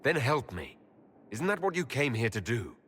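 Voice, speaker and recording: A man playing a resolute elven hero in a fantasy video game, solemn and dry, with measured dramatic delivery. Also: medium pitch, big pitch swings, fairly bright tone, slightly rough. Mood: grave.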